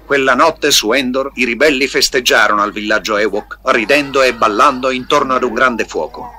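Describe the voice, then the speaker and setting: A man's voice: medium pitch, normal speed, pushed and bright. A man reading scripted storybook narration as the narrator of a recorded tale. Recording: music under the voice. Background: music.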